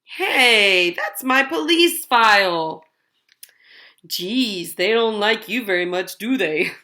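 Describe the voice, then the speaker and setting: Woman playing a grumpy, bright-edged storybook snake. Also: mid-range voice, lively intonation, slow pace. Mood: indignant. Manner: blunt, doubting. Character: grumpy, skeptical male snake, cynical tough guy. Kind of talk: children's storybook reading.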